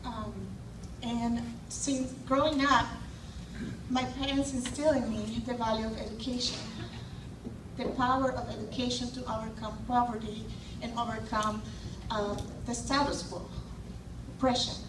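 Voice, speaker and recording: A woman's voice: fairly high pitch, flat delivery, moderate pace. Woman from El Salvador, thirties, hesitant speech, distant mic, echoey noisy hall. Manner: hesitant, many fillers and restarts. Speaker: speaker at a community event. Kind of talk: public testimony speech.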